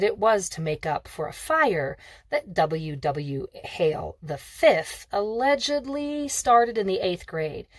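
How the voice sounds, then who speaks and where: A woman's voice: on the low side, no echo. Woman voicing a smug, breezy rich teenage boy. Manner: breezy, self-assured, wry. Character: smug, teasing rich sixteen-year-old boy.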